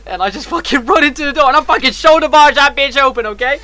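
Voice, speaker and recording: A man's voice: very high voice, fast talker, pushed and bright. A man casually telling a personal story as a streamer, in an emphatic, slangy, heavily profane way.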